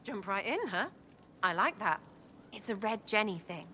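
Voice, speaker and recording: A woman's voice: medium pitch, lively intonation, moderate pace, bright, forceful tone, a little hiss or hum. A woman voicing a cheeky, irreverent young elf rogue in a video game, breezy and slangy with a British accent. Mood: playful. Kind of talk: video game dialogue acting.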